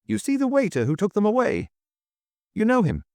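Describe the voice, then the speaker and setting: A man's voice: medium pitch, expressive, moderate pace, dry recording, clean studio sound, pitched above the performer's own voice, close to an everyday voice. A man voicing an anguished foreign hotel proprietor in a storybook, breathless and stammering in broken English. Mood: excited.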